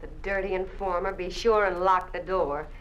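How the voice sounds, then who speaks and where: An actress playing a bitter, scornful housewife in a 1950s radio drama. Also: female voice, lowish voice, fairly even intonation, normal speed, slight background noise, lightly acted, rough recording.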